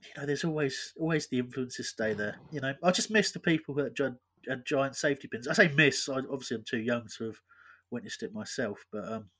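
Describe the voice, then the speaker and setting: A man's voice: medium pitch, brisk, a bit bright. A man hosting a football podcast in casual chat, teasing his guests. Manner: joking, teasing. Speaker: podcast host.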